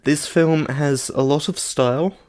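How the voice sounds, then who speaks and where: A man's voice: a little high, measured pace, darkish tone, no room sound. Understated, droll man in dry sound. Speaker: podcast co-host reviewing films. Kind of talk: casual chat.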